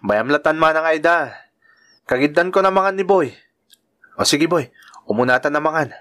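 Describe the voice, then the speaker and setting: A man's voice: a little high, expressive, moderate pace, a bit bright. A man with an Ilocano accent acting a dutiful, kind younger brother and uncle in an audio drama. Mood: warm, affectionate.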